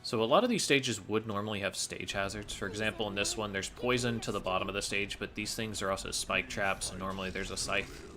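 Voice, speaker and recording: Low, bright-voiced man commentating a game. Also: brisk, faint music behind. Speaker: speedrunner commentator. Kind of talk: explanatory gameplay commentary.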